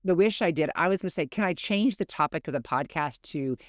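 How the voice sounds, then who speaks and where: A woman's voice: deep voice, lively intonation, fairly quick, no echo, clean studio sound. Blunt, frank woman podcast co-host. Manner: frank, blunt, laughs easily. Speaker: mother. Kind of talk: casual chat.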